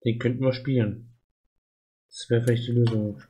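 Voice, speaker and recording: A man's voice: mid-range voice, steady tone, moderate pace, dark tone, slightly rough. A man streaming an adventure game, chatting casually and thinking aloud in a musing way. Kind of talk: casual chat while gaming, thinking aloud.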